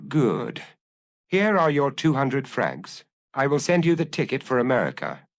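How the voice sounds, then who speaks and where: A man voicing a brisk, commanding ex-police detective in an audiobook, brusque and impatient as he bargains. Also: medium pitch, sing-song, no echo, clean studio sound, pitched above the performer's own voice. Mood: satisfied. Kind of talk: audiobook character acting.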